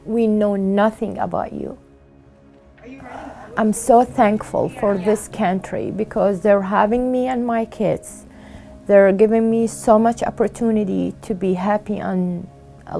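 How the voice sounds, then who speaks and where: Halting middle-aged woman, Iraqi accent. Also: medium pitch, gravelly, mellow. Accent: Iraqi Arabic. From Iraq. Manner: halting, frequent fillers and pauses. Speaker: refugee sharing her story. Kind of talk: interview testimony.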